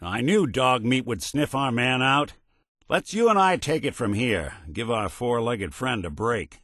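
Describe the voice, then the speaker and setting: A man's voice: mid-range voice, lively intonation, a little slow, fairly bright tone, no echo. A man voicing a weary, world-worn but principled android detective in a video game, with dry, sardonic delivery and folksy hard-boiled noir phrasing. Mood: wry, intrigued.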